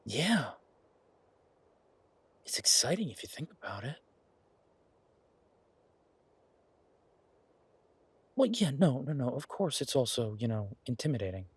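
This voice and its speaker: A man playing an earnest, playful boyfriend in a naturalistic one-sided audio roleplay, talking in a halting, wry, conversational way. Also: medium pitch, very animated, husky, no room sound. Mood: hopeful, uneasy. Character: earnest, playful werewolf boyfriend pitching a farm venture.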